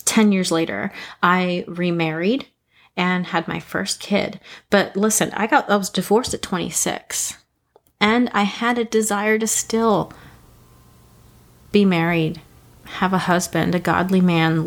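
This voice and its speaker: Gravelly-voiced woman in her thirties, earnest. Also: fairly low pitch, fairly even intonation, measured pace. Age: 30s. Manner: earnest, rambling, frequent ums and soft laughs. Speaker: faith podcast host. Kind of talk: reflective devotional talk.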